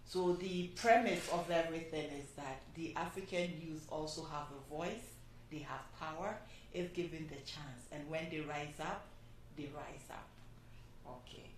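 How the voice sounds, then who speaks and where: Low-voiced woman hosting a panel. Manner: unhurried, pausing with fillers. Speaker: host. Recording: echoey room from a distance, faint noise.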